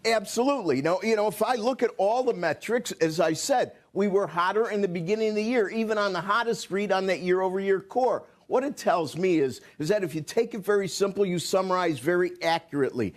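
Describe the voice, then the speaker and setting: A man's voice: high-pitched, fairly quick, few pauses. A man speaking as a market analyst on financial news, assured and heavy on jargon.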